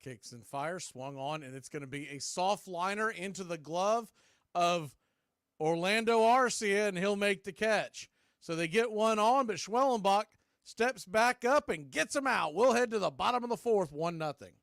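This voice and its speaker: A man's voice: high voice, animated, moderate pace, creaky, fairly bright tone, no echo, studio quality. A man giving live baseball play-by-play commentary as the announcer.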